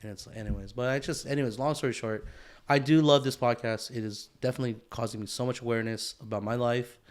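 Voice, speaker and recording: Guy on a podcast mic, mid-pitched, talks in loops, keeps restarting his thoughts, casual and reflective. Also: fairly even intonation, fast talker. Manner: reflective, rambling, many restarts and fillers. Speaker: podcast co-host. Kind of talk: casual chat.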